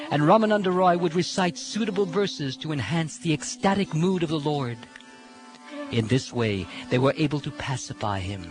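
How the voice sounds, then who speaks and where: A man narrates scripture in a high voice, with theatrical and reverent phrasing. Music plays clearly behind him throughout the reading. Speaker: reader of scripture. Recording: music under the voice.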